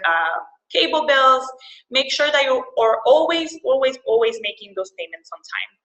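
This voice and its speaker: A young woman from El Salvador explains credit in a webinar, her voice a little higher than most and very bright. She talks conversationally, repeating words and leaning on fillers. The sound carries a clear echo of the room. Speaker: financial counselor presenting a webinar. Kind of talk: webinar presentation.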